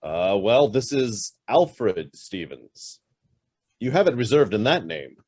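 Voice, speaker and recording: A man's voice: on the low side, big pitch swings, takes it slowly, a little mellow, slightly rough, exaggerated voice. An actor playing a curt, demanding middle-aged businessman who is suspicious and hiding something, in a radio drama. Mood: brisk, commanding. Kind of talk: stage-style radio drama acting.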